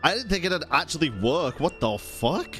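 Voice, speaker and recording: Bright, animated sweary male streamer. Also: on the high side, normal speed. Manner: sweary, incredulous. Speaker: game streamer. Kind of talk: casual live gameplay reaction. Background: faint game music.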